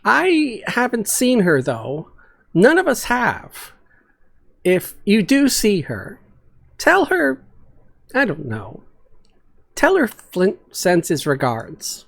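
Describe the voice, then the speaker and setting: A man playing a loud, sardonic, hot-tempered adventurer in a fantasy story, speaking with indignant emphasis. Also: medium pitch, huge pitch swings, takes it slowly, gravelly, long pauses, voice pushed up, exaggerated voice. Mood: gruff, grudgingly fond.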